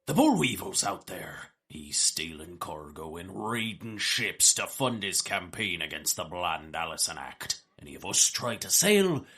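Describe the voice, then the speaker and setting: A blustery, cowardly sailor for a comic audio drama. The voice is extremely husky and gravelly, forceful, swinging dramatically in pitch. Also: male voice, sing-song, measured pace, exaggerated voice. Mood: gruff warning. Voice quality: gravelly, raspy. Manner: salty nautical bluster. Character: gruff, superstitious old-time sailor, blustery and cowardly. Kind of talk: comedic audio drama acting.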